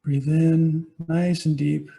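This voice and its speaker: A man guiding a meditation as a teacher, giving gentle, unhurried instructions with pauses between phrases. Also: on the high side, flat delivery, creaky. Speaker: meditation teacher, Buddhist monastic. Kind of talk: guided body scan meditation.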